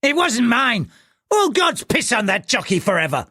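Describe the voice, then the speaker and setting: Coarse impatient bettor voiced by a male reader, lively and cursing. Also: very high voice, moderate pace, husky, no room sound, studio quality, voice pushed up, exaggerated voice.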